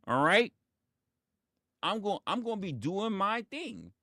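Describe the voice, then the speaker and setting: A man's voice: high voice, sing-song, slow, pushed and bright, dry recording, studio quality. A man in his forties hosting a casual livestream monologue, emphatic and profane, often repeating his phrases for effect. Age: 40s. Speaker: livestream host.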